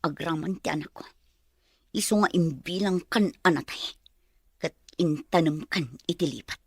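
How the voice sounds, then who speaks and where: An actress playing a bitter, unforgiving wronged mother in an Ilocano radio drama, with a husky, breathy voice. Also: sits low, moderate pace, a little mellow, dry recording, studio quality. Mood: bitter, accusing.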